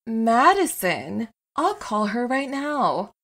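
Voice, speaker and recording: A woman playing a warm, wry, loyal partner in a naturalistic comedy audio drama, teasing with a deadpan touch. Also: fairly high pitch, slow pace, over loud music, heavily acted. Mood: excited.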